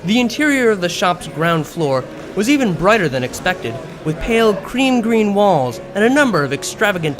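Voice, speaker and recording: Animated higher-pitched man narrating a story. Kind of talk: scripted audiobook-style story narration. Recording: music behind the voice.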